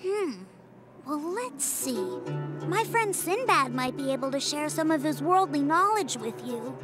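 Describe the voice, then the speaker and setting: A woman's voice: sits high, animated, unhurried, over music. A woman voicing a helpful, chirpy young character in a storybook video game cutscene. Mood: thoughtful, helpful.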